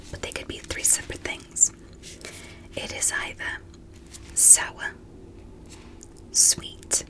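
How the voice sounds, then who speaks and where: A gentle, curious female alien doctor played by a woman for an ASMR medical roleplay. She whispers throughout at a very slow pace. Her manner is soothing, formally polite and encouraging, as if guiding a human patient through an exam. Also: natural voice, low-quality recording.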